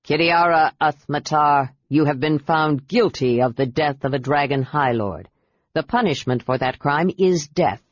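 A woman's voice: sits low, unhurried, no echo, highs cut off. A woman voicing a commanding, merciless male emperor passing judgment in a fantasy audiobook. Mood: stern. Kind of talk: audiobook character voice.

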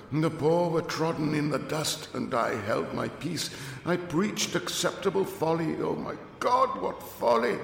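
A man voicing a half-mad curate who wails, pleads and rants in a hushed, cracking voice. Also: vocal fry, reverberant, big-room sound, slight background noise, heavily acted. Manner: whispered, ranting, pitch leaping high.

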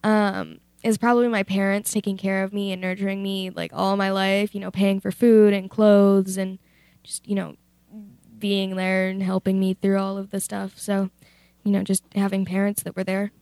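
A woman's voice: mid-range voice, little pitch movement, moderate pace, darkish tone, smooth, no room sound. A teenage girl presenting a youth radio show, moving between casual chat and scripted reading.

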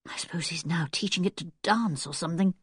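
A woman voicing an anxious, squeamish young girl in a storybook, fretful and expressive. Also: mid-range voice, normal speed, husky, dry recording, clean studio sound. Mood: bitter musing.

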